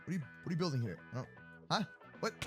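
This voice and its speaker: A man improvising game roleplay commentary, animated and slangy, reacting aloud as he goes. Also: fairly high pitch, very fast, faint music behind. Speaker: gaming video host.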